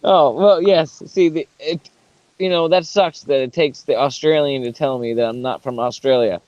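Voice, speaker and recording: A man's voice: on the high side, moderate pace, darkish tone, no room sound, highs cut off. A man with a Boston accent chatting casually in an online hangout, rambling and laughing at himself. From New England. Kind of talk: casual group chat.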